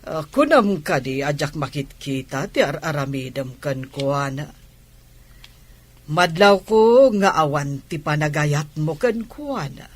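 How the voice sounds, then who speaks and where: A middle-aged Filipino village aunt in a radio drama, nosy and doting. Her voice is husky and swings widely in pitch, with an unhurried, teasing delivery full of exclamations. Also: animated, full character voice. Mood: knowing, chiding. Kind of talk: Ilocano radio comedy drama acting.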